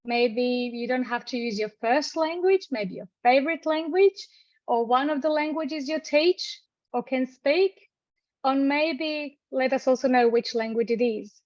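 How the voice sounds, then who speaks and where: Casting: higher-voiced woman, lecturer hosting an online session, friendly but halting, dry muffled call audio. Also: darkish tone, no echo. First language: Croatian. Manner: friendly, halting, with many restarts and fillers. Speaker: university lecturer hosting a webinar. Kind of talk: webinar housekeeping and introductions. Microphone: video call.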